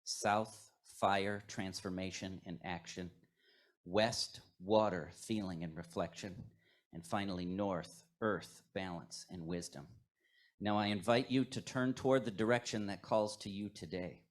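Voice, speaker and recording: Male worship leader, low register, measured and reflective, delivering a poetic scripted meditation. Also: steady tone.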